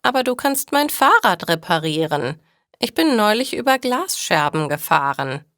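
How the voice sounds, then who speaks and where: A woman voicing a kind but shrewd, plain-spoken elderly grandmother in a storybook read for language learners, with deliberate, matter-of-fact delivery. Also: medium pitch, big pitch swings, fairly bright tone, dry recording, clean studio sound. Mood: kind, practical.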